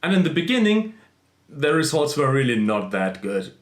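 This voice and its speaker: A man's voice: fairly high pitch, big pitch swings, moderate pace. Central European man in an echoey room. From small country in central Europe. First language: not English. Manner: earnest, plain-spoken, frequent fillers and restarts. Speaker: side-hustle vlogger. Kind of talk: casual screen-share explainer.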